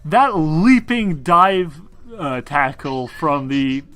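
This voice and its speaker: A man's voice: a little high, lively intonation, very slow, bright, forceful tone, soft background music. A man acting as game master in a casual tabletop role-playing session, with a blunt, wry, profane way of talking.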